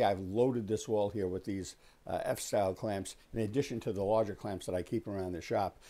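Low, mellow older man explaining casually. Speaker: host of a woodworking shop tour. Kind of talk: casual explanatory tour talk. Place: small wood-panelled workshop, indoors.

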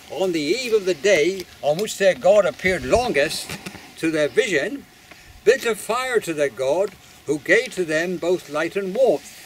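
An older man reading a prepared ceremonial speech outdoors in a formal, measured, declamatory way. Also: high voice, low-quality recording. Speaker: master of ceremonies, society chairman. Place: open hilltop outdoors. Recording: outdoor recording with faint background noise.

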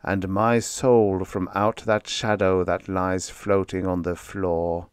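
Slow, solemn man reciting gothic poetry. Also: sits low, flat delivery, smooth, no echo, clean studio sound. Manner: solemn, theatrical, deliberate phrasing. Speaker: audiobook narrator.

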